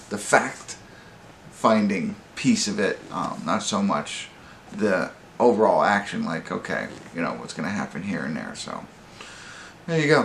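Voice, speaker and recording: A man with a lowish, notably creaky voice gives an informal film review as host. He talks in a loose, halting, conversational way, wandering as he goes. The room adds a clear echo around his words. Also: a bit bright. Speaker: host of a movie review show. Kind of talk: casual off-the-cuff movie review. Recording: roomy echo, background room noise.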